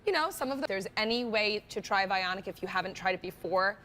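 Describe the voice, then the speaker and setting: Female brand rep, mid-range voice, chatty and emphatic conversational sales pitch. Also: expressive, quick pace, pushed and bright, a little hiss or hum. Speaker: footwear brand representative.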